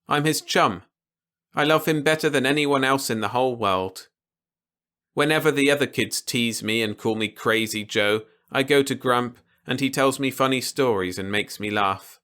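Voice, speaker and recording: A man's voice: mid-range voice, pushed and bright, clean tone. A man narrating an audiobook short story, read from a script in the simple first person of a child.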